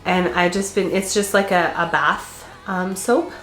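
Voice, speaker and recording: A woman vlogger with a congested, stuffed-up voice, chatting casually to her camera and laughing often. Her voice sits on the low side for a woman. The sound bounces around an echoey room. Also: steady tone, unhurried, a bit bright, soft background music. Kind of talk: casual chat.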